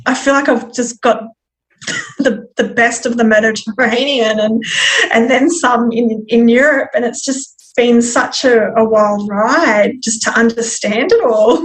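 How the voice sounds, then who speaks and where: A woman guest telling her personal story in conversation, reflective and candid, with a halting delivery. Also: a little high, rough recording. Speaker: podcast guest.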